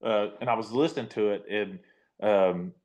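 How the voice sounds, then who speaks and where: Baritone man hosting a casual chat. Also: a little mellow. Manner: halting, repeats words when starting. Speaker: host. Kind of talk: casual conversation.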